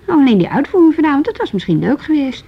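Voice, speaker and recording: An actress playing a frank, lively wife in a naturalistic Dutch radio drama. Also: female voice, high-pitched, fast talker, creaky, muffled recording, heavily acted. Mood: gently reproachful. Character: Hanneke, frank, lively wife nudging her tired husband.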